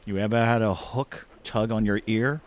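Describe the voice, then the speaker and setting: A man's voice: on the low side, steady tone, normal speed, no echo, close to an everyday voice. A man playing a dry, good-natured older man who teases in a plain-spoken way, in a naturalistic drama. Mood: dry, teasing. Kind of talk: naturalistic short film drama acting.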